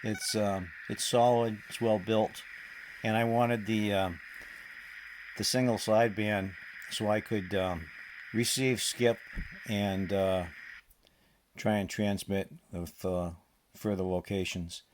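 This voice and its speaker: Slow, halting man with a low voice. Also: steady tone, a little hiss or hum, low-quality recording. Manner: halting, hesitant, throat-clearing. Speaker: radio hobbyist presenter. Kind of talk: informal hands-on product demonstration.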